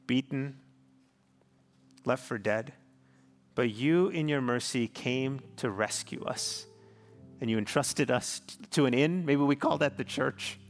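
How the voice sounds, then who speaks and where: A man's voice: medium pitch, measured pace, long pauses, faint music behind. A man in his thirties, a pastor giving a sermon and closing prayer, telling a family story in an earnest, pastoral way. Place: large church sanctuary. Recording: long hall reverb.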